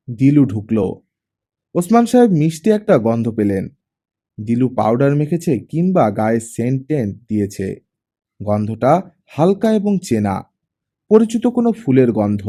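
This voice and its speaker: Male storyteller for dramatic audiobook fiction, dark mellow tone, animated melody. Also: medium pitch. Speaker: audiobook narrator. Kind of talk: dramatic scripted story narration.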